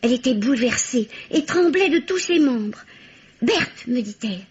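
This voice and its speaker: A woman playing a timid, excitable, naive spinster sister in a radio mystery, earnest and hesitant. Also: sits high, moderate pace, slightly rough, heavily acted. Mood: hushed, dramatic. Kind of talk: vintage French radio mystery acting. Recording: dull, lo-fi old radio recording.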